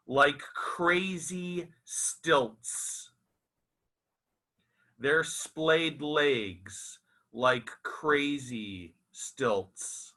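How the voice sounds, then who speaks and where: A man reciting his own literary fiction as its author, in a theatrical, deliberate and emphatic manner. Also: fairly high pitch, pushed and bright, low-quality recording. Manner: dramatic, deliberate, emphatic with repeated phrases. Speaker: author reading own novel aloud.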